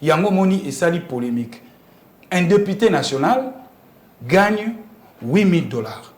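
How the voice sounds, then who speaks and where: Man, Congolese accent, high voice, very lively intonation, emphatic explainer, rhetorical questions, political interview. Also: unhurried, roomy sound. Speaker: interview guest commenting on politics. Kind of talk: animated political interview talk.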